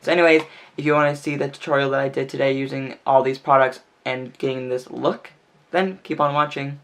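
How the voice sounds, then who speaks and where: A man's voice: mid-range voice, steady tone, fairly quick, fairly bright tone. A man talking through a makeup tutorial in a chatty, casual way, filling his speech with "like", his voice a little stuffy and nasal from sneezing. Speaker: beauty vlogger.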